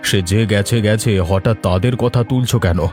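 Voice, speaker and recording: An actor playing a remorseful, ailing older village landlord in a moral-tale cartoon, speaking haltingly and earnestly. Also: male voice, fairly low pitch, darkish tone, soft background music, natural voice. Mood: weary, reflective.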